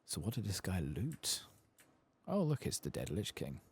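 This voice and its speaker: A man giving soft-spoken, murmured ASMR commentary while playing a video game, with a husky, breathy voice. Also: deep voice, wildly swooping pitch. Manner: quiet, murmured, unhurried.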